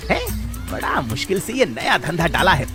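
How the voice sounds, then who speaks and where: Man voicing a boisterous high-pitched rustic villager. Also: sing-song. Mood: irritated, threatening. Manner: drawn-out, exclamatory, folksy. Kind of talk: cartoon voice acting. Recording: background music under the voice.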